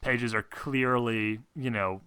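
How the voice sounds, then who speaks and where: A man runs a tabletop game as its game master. He improvises narration casually, with a halting, hedging delivery, in a dry-sounding recording. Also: mid-range voice, pushed and bright, clear, resonant tone, no room sound.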